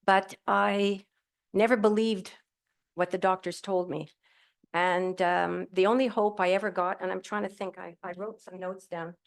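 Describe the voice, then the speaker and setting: A woman's voice: mid-range voice, fairly even intonation, measured pace, vocal fry. A middle-aged woman telling her own story as a panel guest at a live event, cheerful, candid and rambling, speaking into a handheld microphone. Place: event hall with audience.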